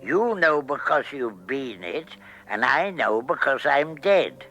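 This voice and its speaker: A man's voice: medium pitch, very animated, pushed and bright, heavily acted. An actor playing the peevish, disappointed ghost of an old great-grandfather, querulous and scolding, in a science fiction radio comedy. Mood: lofty, chiding. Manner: querulous, scolding, slow and quavering.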